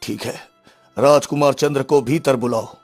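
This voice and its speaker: A man's voice: medium pitch, fairly even intonation, moderate pace, soft background music, close to an everyday voice. A man voicing an anxious middle-aged king and father in a cartoon story, speaking in a solemn, troubled way. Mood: sorrowful. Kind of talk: Hindi cartoon story voice acting.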